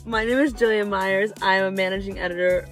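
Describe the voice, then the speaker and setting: Teenage woman co-host, medium pitch. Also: fairly even intonation, fast talker, faint music behind. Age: late teens. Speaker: podcast co-host, student editor. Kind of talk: casual podcast hosting.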